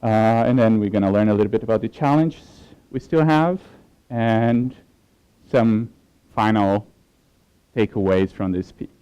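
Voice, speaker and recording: Man, Portuguese accent, conference speaker, mellow smooth voice, relaxed and halting. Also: fairly low pitch, highs cut off. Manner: relaxed, with frequent fillers and restarts. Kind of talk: technical conference talk.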